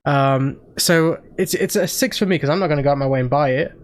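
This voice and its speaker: A man's voice: on the high side, fairly quick. A man hosting a casual game-trailer reaction stream, presenting with lots of ums and restarts. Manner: hesitant, filler-heavy presenting. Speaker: stream host.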